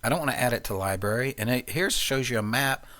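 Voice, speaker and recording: A man presenting an informal, unscripted software tutorial, explaining things step by step. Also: mid-range voice, fast talker. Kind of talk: informal screencast walkthrough.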